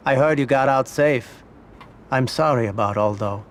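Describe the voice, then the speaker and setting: Guy playing old crime boss moneyman in a game, calm n fatherly, unhurried advice, mid pitch, some rumble behind him. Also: lightly acted. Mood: calm, calculating. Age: older. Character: elderly male mob financier, shrewd, calm, fatherly. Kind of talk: video game cutscene acting.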